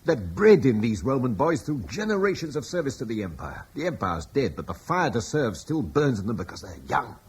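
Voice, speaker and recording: An actor playing a thoughtful, idealistic older father, speaking in a reflective, persuasive way with a husky voice. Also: medium pitch, big pitch swings, a little fast, few pauses, darkish tone. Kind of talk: radio drama acting.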